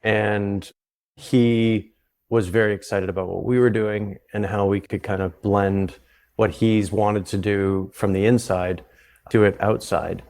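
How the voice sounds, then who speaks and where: A man with a Canadian accent, a guest on an interview podcast, explaining his work in a relaxed way and often restarting his sentences. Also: on the low side, a little slow. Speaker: guest, psychedelic retreat founder. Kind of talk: conversational interview.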